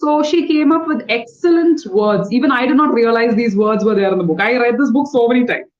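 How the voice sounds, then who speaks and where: A high-pitched woman coaches a learner through a one-on-one lesson. She is patient and corrective and spells words out letter by letter. The sound is dull and lo-fi, like call audio. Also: a little fast. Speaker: English trainer.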